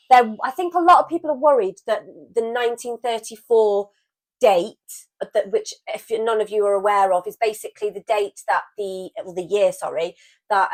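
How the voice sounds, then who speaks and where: A woman co-hosting a casual podcast, chatty and upbeat. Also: medium pitch. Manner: chatty, upbeat, quick to laugh. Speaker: podcast co-host.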